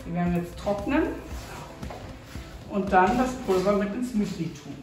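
A woman's voice: mid-range voice, moderate pace, far from the mic. A middle-aged woman, a juice maker, explaining her work in a casual interview. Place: small tiled juice-pressing workroom indoors. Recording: echoey tiled room, off-mic, faint music.

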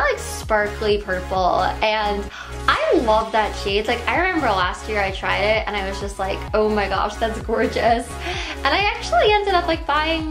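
Young woman, lively high voice, gushing, filler-heavy, slightly strained voice, small room, music underneath. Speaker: beauty vlogger. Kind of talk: casual product showcase to camera. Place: small bedroom with plush toys, indoors.

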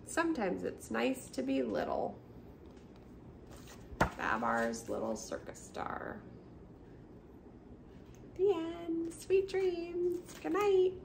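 A middle-aged woman hosting a storytime, reading a children's picture book aloud with expressive, simple storyteller phrasing for young children. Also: very high-pitched, extremely slow, pauses often. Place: small indoor room by a brick wall, seated. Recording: clearly noisy home recording.

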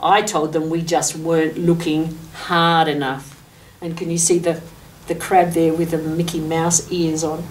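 A woman's voice: low-pitched, little pitch movement, a little slow. Older Australian woman reading to children, distantly miked. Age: 60s. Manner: addresses young children, explaining pictures and asking questions. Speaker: mayor reading a picture book to children. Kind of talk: children's storytime read-aloud with asides. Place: furnished office room indoors, seated. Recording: distant microphone, noticeable room background noise.

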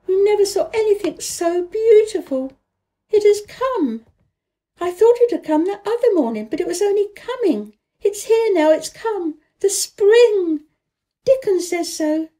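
A woman voicing an excited young girl brimming with joy, gushing and exclaiming in a children's storybook reading. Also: very high-pitched, measured pace, voice pushed up. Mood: excited, joyful.

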